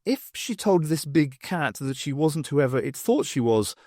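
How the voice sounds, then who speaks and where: A man reading scripted story narration as an audiobook narrator, with expressive, dramatic phrasing. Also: on the high side, unhurried, no echo, clean studio sound.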